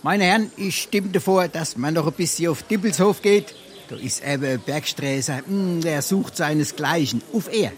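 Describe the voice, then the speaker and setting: An actor playing a wily, boastful drinker and scrounger in a stage comedy, glib and theatrical in Darmstadt Hessian dialect. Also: male voice, a little high, moderate pace, lightly acted.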